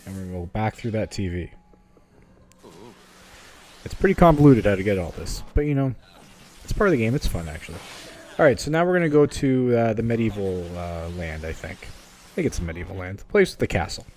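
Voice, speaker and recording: A man's voice: lowish voice, animated, brisk, long pauses, a little mellow. A man giving a casual video game walkthrough in an informal, slightly hesitant, conversational way. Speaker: video game guide host. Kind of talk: casual gameplay walkthrough commentary.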